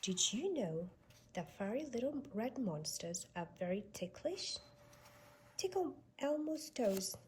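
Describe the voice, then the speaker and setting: Expressive woman reading slowly to young children. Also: medium pitch, slight background noise. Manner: expressive, sing-song delivery for young children. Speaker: storybook reader. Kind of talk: read-aloud children's storytelling.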